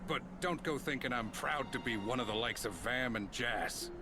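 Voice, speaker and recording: An actor playing a gruff, folksy man with a soft heart in a video game cutscene, talking in a colloquial way. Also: mid-range voice, moderate pace, very noisy, natural voice. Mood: defensive. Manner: colloquial, folksy drawl phrasing. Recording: loud music behind the voice. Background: loud game music.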